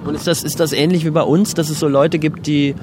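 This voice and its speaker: A man's voice: fairly high pitch, steady tone, a little fast, music in the background. A man chatting casually in a moving car, asking curious, rambling questions with many restarts. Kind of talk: casual chat. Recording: car with road noise. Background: road and traffic noise.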